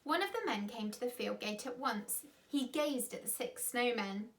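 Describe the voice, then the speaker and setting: A woman's voice: a little high, lively intonation, moderate pace, fairly bright tone. A woman reading a children's story aloud expressively, with dramatic emphasis. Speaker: storyteller, school reader.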